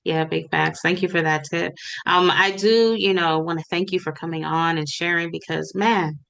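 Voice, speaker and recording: Podcast host lady, lowish gravelly voice, hypes up her guest and encourages listeners. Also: fairly even intonation, normal speed, fairly bright tone. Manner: enthusiastic, encouraging, preacherly. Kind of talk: casual interview talk.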